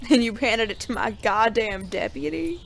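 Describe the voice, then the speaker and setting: A woman's voice: fairly high pitch, expressive, moderate pace, a little hiss or hum. A woman playing a weary, honorable, teasing ex-sheriff gunslinger in a western audio drama, with a drawl of frontier slang. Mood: exasperated, teasing. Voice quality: gravelly creak.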